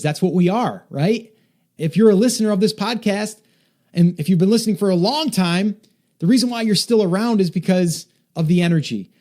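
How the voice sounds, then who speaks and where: A man's voice: on the high side, mellow. A man hosting a solo podcast, talking earnestly and encouragingly to listeners, often repeating himself for emphasis. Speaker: podcast host.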